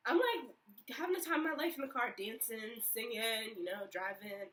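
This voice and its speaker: A woman's voice: fairly high pitch, normal speed, fairly bright tone, distant mic. A young woman telling a story in a casual vlog, chatty and rambling with lots of fillers. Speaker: vlogger.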